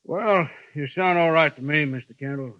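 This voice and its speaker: An actor playing a genial but menacing frontier town boss with a folksy drawl in a 1950s radio western. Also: male voice, a little high, normal speed, dry recording, lightly acted. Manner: folksy drawl with a veiled threat. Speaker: town boss who lays down the law. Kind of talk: 1950s radio drama acting. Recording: dry, dull old radio broadcast.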